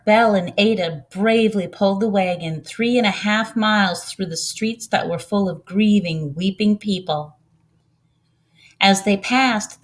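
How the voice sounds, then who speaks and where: A woman reads a children's storybook aloud as a volunteer narrator, with expressive delivery. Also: mid-range voice.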